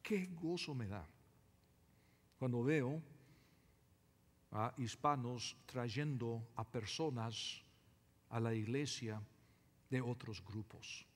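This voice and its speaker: Slow-paced male preacher in an echoing hall. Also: medium pitch, husky. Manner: emphatic, deliberate, repeats phrases. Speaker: pastor preaching to a congregation. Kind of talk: sermon. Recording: reverberant hall with long echo.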